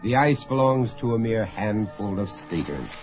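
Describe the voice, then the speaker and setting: A man's voice: fairly low pitch, lively intonation, moderate pace, soft background music. A man delivering dramatic scripted narration for a radio drama. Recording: muffled lo-fi radio broadcast.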